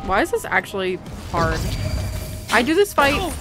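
A woman's voice: a little high, very animated, normal speed. A young woman giving casual, chatty gameplay commentary in an informal, self-amused way. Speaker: gaming YouTuber. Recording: game music under voice-over. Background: game music.

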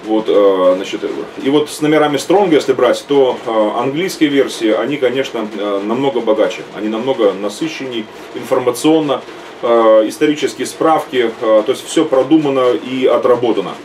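A middle-aged man gives a seminar as an archbishop, speaking slowly and stopping often to search for words. He is recorded by a webcam set back from him in a small home room. A faint background noise sits under his voice. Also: medium pitch, a little mellow, recorded from a distance. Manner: hesitant, many drawn-out "uh" fillers. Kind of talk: teaching seminar. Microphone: webcam at desk.

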